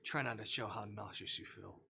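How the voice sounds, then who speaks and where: A man's voice: fairly low pitch, brisk, vocal fry, bright, forceful tone, slightly rough. A man livestreamer reading a visual novel aloud, mixing narration with casual commentary.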